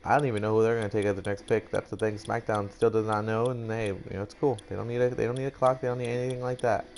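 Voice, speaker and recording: A man's voice: on the low side, flat delivery, fast, gravelly, a little mellow, a little hiss or hum. A young man doing casual, rambling mock-announcer commentary for a video game draft, swearing now and then. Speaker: gaming YouTuber.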